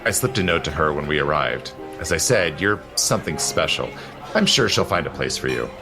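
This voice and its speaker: An actor playing a suave, teasing 19th-century gentleman in an audio drama, urbane and theatrical in manner. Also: very deep voice, very animated, a little fast. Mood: confiding, playful. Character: suave, teasing immortal gentleman protector, secretly seventy-two. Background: faint music.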